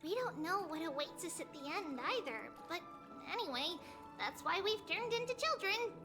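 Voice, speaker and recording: A woman's voice: extremely high pitch, expressive, moderate pace, music in the background. A woman voicing a small, cheerful childlike girl demigoddess in a video game, with a playful, lilting delivery.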